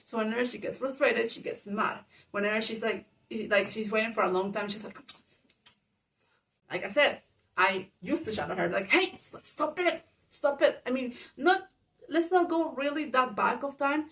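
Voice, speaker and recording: A woman with a Spanish-speaking accent, a native Spanish speaker, telling a personal story in a rambling monologue full of fillers. She describes her own voice as loud. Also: a little high, moderate pace, gravelly, far from the mic. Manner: rambling, lots of fillers, grows loud when reenacting arguments. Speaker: vlogger.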